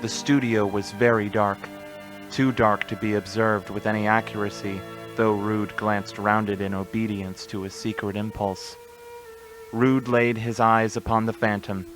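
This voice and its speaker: A man's voice: fairly low pitch, level tone, unhurried, fairly bright tone. A man narrating a scripted radio play in a solemn, literary storytelling manner. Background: music.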